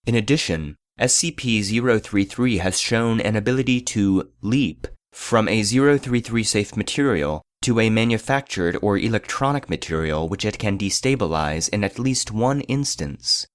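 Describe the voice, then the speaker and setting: An actor playing an impassive archive database voice, reading classified reports in a formal, measured, clinical way. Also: male voice, fairly low pitch, lively intonation, no echo, studio quality, close to an everyday voice. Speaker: narrator. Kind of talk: scripted narration, clinical report.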